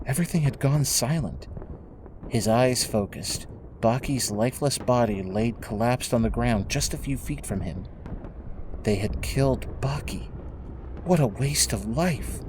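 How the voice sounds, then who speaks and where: A man narrating a scripted dramatic story in a measured, solemn way, with music behind him. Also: medium pitch, background noise. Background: prominent music.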